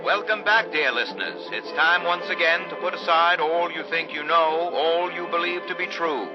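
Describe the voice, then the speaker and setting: An actor playing a grandly mysterious, melodramatic announcer and narrator of an old-time radio serial. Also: male voice, fairly high pitch, moderate pace, close to an everyday voice. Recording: dull vintage radio recording over loud music.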